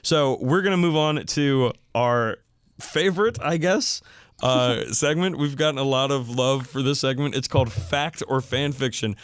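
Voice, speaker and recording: A man's voice: medium pitch, unhurried, smooth, dry recording. A man hosting a hobby podcast in an informal, enthusiastic, conversational style. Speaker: podcast host.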